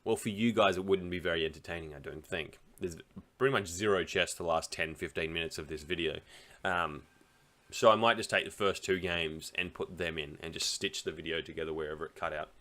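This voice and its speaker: A man's voice: low-pitched, brisk, a bit bright. An Australian man talking casually while playing online chess, rambling and thinking aloud, often laughing. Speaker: chess streamer.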